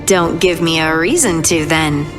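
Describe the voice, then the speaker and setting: An actress playing an earnest, thoughtful young dwarf scout who speaks hesitantly. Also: female voice, deep voice, unhurried. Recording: game dialogue with music behind.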